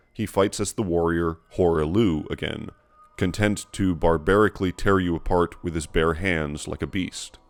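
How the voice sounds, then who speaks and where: Deep-voiced man narrating a scripted explainer. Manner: measured, explanatory, deliberate. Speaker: lore video narrator.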